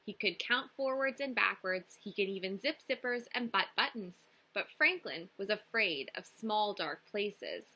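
A young woman reads a children's storybook in a bright voice. Her delivery is slow and deliberate, with very animated intonation and clear teacherly emphasis. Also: mid-range voice. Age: young adult. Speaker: teacher reading a picture book. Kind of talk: expressive storybook read-aloud for children. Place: small indoor room with classroom wall displays.

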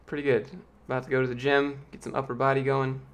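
Young man with a light, youthful voice. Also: fast. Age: late 20s. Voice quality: youthful, light voice that sometimes squeaks. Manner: relaxed, rambling, reads viewer questions aloud. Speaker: streamer answering chat. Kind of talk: casual live-stream chat. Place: small home office, indoors. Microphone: large studio mic with pop filter, close.

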